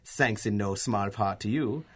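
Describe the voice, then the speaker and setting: An actor playing a gloating Nazi professor with a German accent, pompous and mock-courteous in a radio drama style. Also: male voice, fairly low pitch, a little slow, fairly bright tone. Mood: gloating menace.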